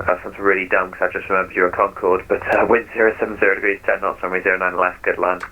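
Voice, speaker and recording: Low, rapid man on a tinny radio. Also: little pitch movement. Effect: aviation radio. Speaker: air traffic controller on a flight simulator network. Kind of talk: clipped radio phraseology. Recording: tinny narrowband radio, faint noise.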